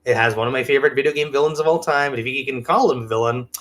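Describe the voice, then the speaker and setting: A man's voice: medium pitch, rapid-fire, fairly bright tone. A man chatting casually as a podcast co-host, telling things in an enthusiastic, rambling way. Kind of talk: casual chat.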